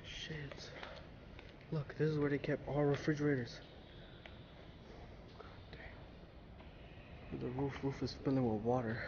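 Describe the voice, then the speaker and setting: Guy filming himself exploring an empty building, cursing and muttering, really noisy lo-fi sound. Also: mid-range voice. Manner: profane, muttering remarks. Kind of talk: casual exploring chat. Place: inside a derelict industrial building. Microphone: handheld or body-worn action camera. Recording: muffled, very noisy action-camera audio.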